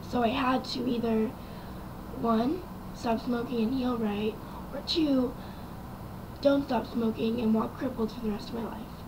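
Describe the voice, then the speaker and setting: A young woman with a raspy, quiet voice, telling her personal story to camera in a rambling way with many ums and likes. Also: a little high, steady tone, unhurried, noisy background. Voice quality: raspy and quiet from vocal cord damage. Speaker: vlogger.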